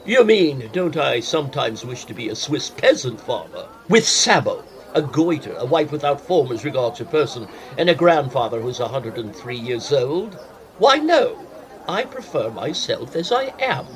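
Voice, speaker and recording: An actor playing a well-bred, wry gentleman traveller in a period audio drama, speaking formally and articulately. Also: mid-range voice, sing-song, normal speed, natural voice. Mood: teasing.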